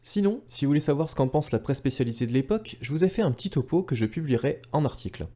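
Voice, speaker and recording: A man's voice: a little high, lively intonation, quick pace, warm tone. A man narrating a retro gaming video from a script, enthusiastic and speaking directly to viewers with casual asides. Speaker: video creator and host.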